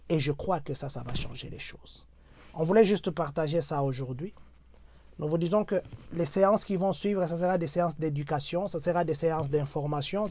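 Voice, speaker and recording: Congolese-accented male host, somewhat high, mellow voice, dry close sound; rhetorical, repeats his phrases. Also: no room sound. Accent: Congolese French. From Democratic Republic of the Congo. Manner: repetitive rhetorical, mixes in Lingala. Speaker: host of a diaspora channel. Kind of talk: exhortative address to viewers.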